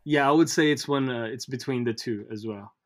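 A man in his thirties, a guest musician whose first language is Tagalog, talking in a relaxed, hesitant way with many pauses. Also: mid-range voice. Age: 30s. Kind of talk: casual interview chat.

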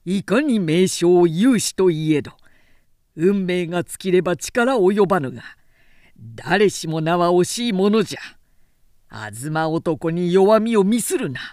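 A woman's voice: lively intonation, a little slow, darkish tone, no room sound, studio quality. A woman as a commanding samurai general, declaiming boldly. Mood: rousing, defiant. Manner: booming, declamatory, archaic warrior speech. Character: commanding male samurai general rallying troops.

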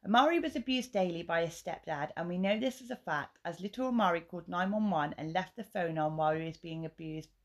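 A woman's voice: on the low side, quick pace, hardly any pauses. A woman with a sore throat, hosting a casual true crime podcast and telling the case with emotion. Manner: chatty, tells the case with emotion. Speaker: podcast host.

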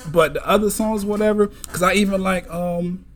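A man in casual chat, lively and quick to laugh and hype the others up. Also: high-pitched, faint music behind.

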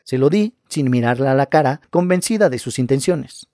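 A man reading scary first-person stories aloud in a calm, measured narration. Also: medium pitch, no room sound, clean studio sound.

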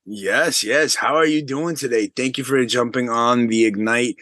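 An earnest man hosts a spiritual interview podcast, warmly prompting his guest and murmuring agreement as she talks. His voice sits at an ordinary pitch and sounds dry and close. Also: moderate pace, no echo. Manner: earnest, affirming with soft "mm" cues. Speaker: podcast host.

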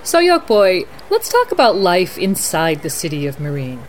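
A woman hosting as a news anchor, reading a scripted bulletin in a measured, formal newsreader delivery. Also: on the low side, huge pitch swings, slight background noise. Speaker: podcast host as news anchor. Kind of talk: scripted mock news broadcast.

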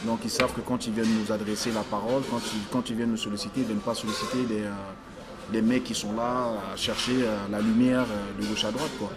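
A man born in Africa, a stylist talking as an interview guest, halting and restarting his phrases as he searches for words. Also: fairly low pitch, flat delivery, music in the background. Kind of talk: casual interview conversation.

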